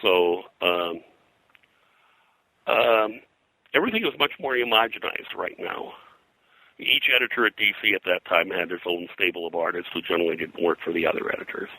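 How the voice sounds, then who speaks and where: Older man, deep creaky voice. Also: pushed and bright, dry recording, low-quality recording. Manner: halting, digressive, frequent "you know". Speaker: guest, pop culture historian. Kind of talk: rambling interview reminiscence.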